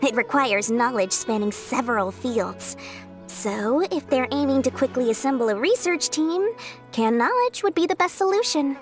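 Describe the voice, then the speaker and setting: A woman's voice: high voice, lively intonation, moderate pace. A woman voicing a small, shrewd, money-loving female merchant in a video game, with wheedling, theatrical sales patter. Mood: sly, knowing. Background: music.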